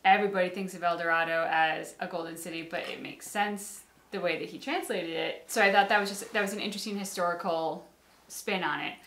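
A woman's voice: fairly low pitch, fairly bright tone. A woman in her thirties giving casual commentary as a reaction show co-host. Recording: roomy indoor echo.

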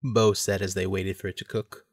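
A man's voice: sits low, little pitch movement, moderate pace, dry recording, studio quality. A man narrating a scripted story for an audio drama.

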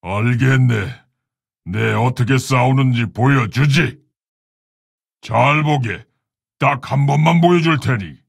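An actor playing a proud, honorable orc warrior shaman in a video game, speaking in a gravelly, husky, solemn and commanding way. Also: medium pitch, slow, pauses often, no echo, clean studio sound, full character voice. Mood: solemn, calm. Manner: solemn, commanding, archaic formal phrasing. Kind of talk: video game character battle lines.

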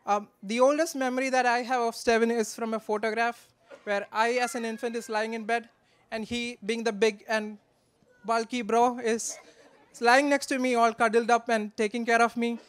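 A man gives a joking, affectionate, slightly halting wedding toast from notes into a handheld microphone. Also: very high voice, flat delivery, clear, resonant tone. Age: 20s. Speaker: best man, groom's brother. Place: wedding reception in a large draped marquee.

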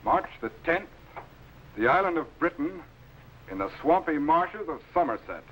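A man's voice: fairly high pitch, sing-song, normal speed, a bit bright, low-quality recording. A man playing a dry, authoritative explorer captain in a 1950s sci-fi series, speaking precisely. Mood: commanding. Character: wry, authoritative male space explorer captain. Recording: vintage film soundtrack, faint noise.

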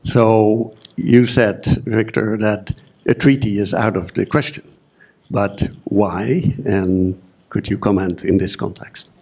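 An older man with a Dutch accent speaks in a fairly low voice. He puts his question formally and deliberately from the audience. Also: steady tone, darkish tone. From Netherlands, grew up in Germany. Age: 60s or older. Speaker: audience questioner, retired health scientist. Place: conference room audience.

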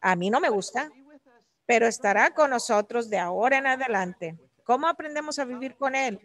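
A woman working as a live interpreter for an academic talk, delivering it in halting, separate phrases. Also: on the high side, animated, fairly bright tone.

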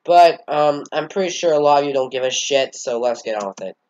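A man's voice: on the high side, lively intonation, brisk, darkish tone, muffled recording. A fourteen-year-old boy, congested, giving a rambling, hesitant channel update with casual swearing. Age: teens. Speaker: channel owner.

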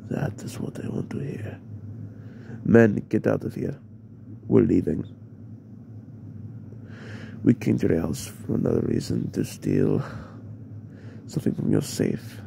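A man playing a menacing, possessive mafia boss in an ASMR roleplay, in a breathy, half-whispered murmur with slow, deliberate pauses. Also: exaggerated voice. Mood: satisfied, commanding. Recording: noisy, with steady background rumble.

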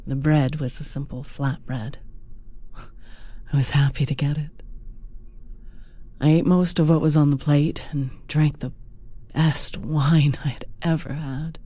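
A woman with a soft, husky voice narrating a scripted story in the first person. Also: sits low, fairly even intonation, unhurried, pauses often. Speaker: audiobook narrator.

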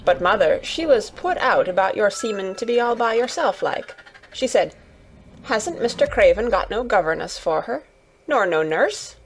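A woman's voice: medium pitch, lively intonation, moderate pace, slight background noise, natural voice, rough recording. A woman voicing a cheerful young Yorkshire housemaid in a storybook, chatty and eager, with homely dialect and exclamations. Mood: earnest, chatty.

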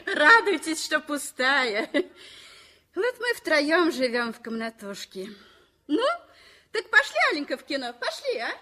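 A woman's voice: sits high, big pitch swings, slow, long pauses, bright, forceful tone, exaggerated voice. An actress playing a cheerful, teasing young woman in a 1960s radio play, talking playfully with giggles.

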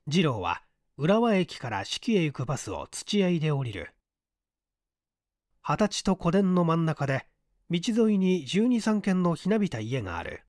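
A man's voice: fairly high pitch, no room sound, studio quality. A man narrating mystery fiction from a script as an audiobook reader, in a dramatic manner.

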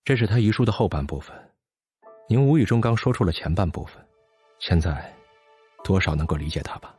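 A bitter, brilliant physicist in an audio drama. His low voice swings widely as he lectures with theatrical sarcasm. There is a husky edge to it, with faint music behind. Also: expressive, brisk, darkish tone, exaggerated voice. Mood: subdued, sorrowful. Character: bitter, brilliant, hard-drinking male theoretical physicist.

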